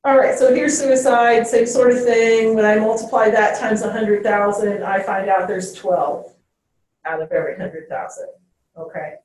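A woman's voice: mid-range voice, fairly even intonation, moderate pace, a little mellow. A woman teacher giving a classroom lecture, checking in with "okay?" after each point. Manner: ends phrases with "okay?". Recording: very echoey classroom, distant mic.

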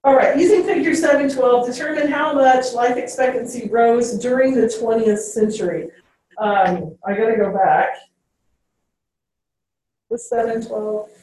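A woman's voice: a little high, a little slow, a little mellow. A woman teacher giving a classroom lecture, checking in with "okay?" after each point. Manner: ends phrases with "okay?". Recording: very echoey classroom, distant mic.

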